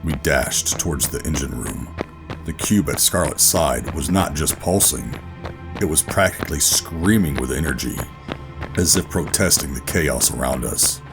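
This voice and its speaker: An actor playing a gruff, guarded covert operative who narrates a sci-fi horror audio drama in a brooding, deliberate way. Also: male voice, very deep voice, animated, over music, heavily acted. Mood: brooding, ominous. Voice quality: gravelly. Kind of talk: first-person scripted audio drama narration and dialogue.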